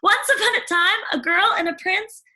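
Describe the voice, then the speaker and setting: Woman voicing a high, chattering storybook girl. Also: moderate pace, sharp tone, exaggerated voice. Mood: eager. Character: chatty, sweet, eager young storytelling girl Cindy. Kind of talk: children's storybook character voice.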